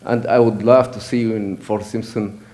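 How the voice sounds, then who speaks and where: Earnest Sudan-born man, low voice. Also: fairly even intonation, moderate pace, mellow. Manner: earnest, repeats and restarts words. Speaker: audience member at an assembly. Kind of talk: public comment at a meeting.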